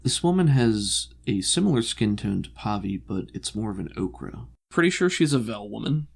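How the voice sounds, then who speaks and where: A man's voice: lowish voice, very animated, normal speed, vocal fry, slightly rough. Man narrating a tabletop game. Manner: descriptive, improvised, hesitant searching for words. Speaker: game master.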